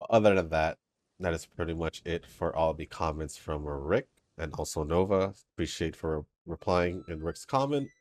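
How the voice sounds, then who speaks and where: A man's voice: deep voice, moderate pace, no room sound. A man hosting a gaming channel, chatting casually over gameplay with a stuffy, congested nose, rambling and laughing between comments. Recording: faint game music behind. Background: soft game music.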